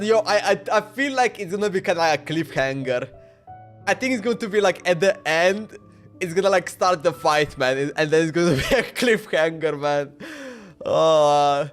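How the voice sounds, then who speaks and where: High-voiced young man rambling on stream. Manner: rambling, slangy, stammering repetitions. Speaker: livestreamer playing a game. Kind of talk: casual livestream chat.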